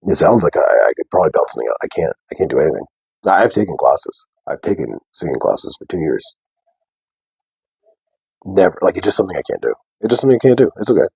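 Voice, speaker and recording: A young man with a deep bass voice, talking softly and casually to viewers on a live stream. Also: very animated, fairly quick, vocal fry, pauses often, husky, dry recording, poor audio. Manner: soft, unprojected speech. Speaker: livestream host. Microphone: wearing a mic.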